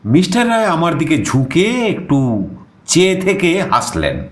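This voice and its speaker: A man's voice: fairly high pitch, wildly swooping pitch, a little slow, roomy sound. A man acting an eager young junior reporter who narrates his own story expressively, with a Kolkata Bengali accent. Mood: animated storytelling. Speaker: narrator and young journalist character. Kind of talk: dramatized first-person story narration.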